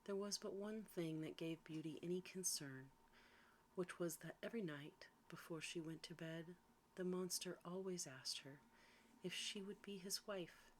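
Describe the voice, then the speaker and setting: A woman reading a sleep story in a soft, quiet ASMR style, gently and without hurry, at times slipping into a breathy whisper. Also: lowish voice, a little slow, low-quality recording. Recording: faint background noise.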